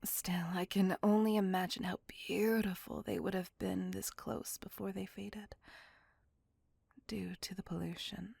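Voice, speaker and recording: A woman playing an ancient, possessive and tender female vampire in an intimate ASMR roleplay, breathy and whispery, with languid, formal, old-fashioned speech. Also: medium pitch, level tone, unhurried, darkish tone, no echo, heavily acted. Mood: tender, romantic. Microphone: close microphone.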